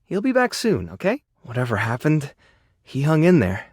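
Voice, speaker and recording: A soft-hearted, self-effacing animal rescuer from a video game. His delivery is shy and earnest, full of small hesitations. The pitch moves around in an animated way, and the recording is dry, with no room sound. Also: normal speed, no echo. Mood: reassuring. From Mexico (character). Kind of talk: video game character voice acting.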